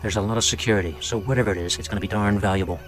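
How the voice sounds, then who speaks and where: A man's voice: on the low side, steady tone, racing, close to an everyday voice. A man playing a genial, shrewd wartime intelligence officer in a game, briefing in a folksy, confiding way. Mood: brisk briefing. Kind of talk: dramatic game cutscene acting. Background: music.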